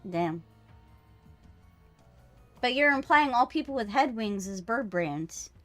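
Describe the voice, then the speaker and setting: High-voiced woman bantering on a game stream. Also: lively intonation, slow, fairly bright tone. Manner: playful, sweary, teasing. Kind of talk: casual banter while live streaming a visual novel. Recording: music playing behind the voice. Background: music.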